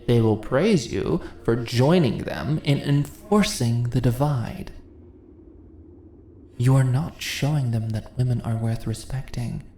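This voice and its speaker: A woman playing an ancient, seductive goddess in an ASMR fantasy roleplay, speaking in a theatrical, deliberate, condescending yet coaxing manner. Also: rumbling low, warm tone, exaggerated voice. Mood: stern, persuasive. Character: ancient seductive goddess, imperious, teasing, persuasive. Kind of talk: ASMR fantasy roleplay, intimate dramatic monologue. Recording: roomy echo, audible ambient noise.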